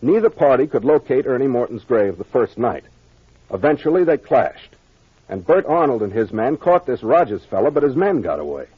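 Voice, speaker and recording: An American man playing a brisk, confident captain laying out a solved mystery in 1940s radio mystery style, plain-spoken and authoritative. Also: mid-range voice, big pitch swings, breathy edge. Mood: brisk, explanatory. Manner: expository, authoritative, plain-spoken with gangster slang. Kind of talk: 1940s old-time radio mystery acting. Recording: dull, lo-fi vintage radio recording.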